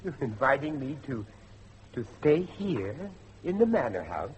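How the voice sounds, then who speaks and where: A middle-aged man acting a determined father and judge in old-fashioned radio drama style, with a dramatic, deliberate delivery. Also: medium pitch, sing-song, highs cut off, a little hiss or hum. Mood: firm, probing. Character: grave, determined father, a judge seeking his missing son.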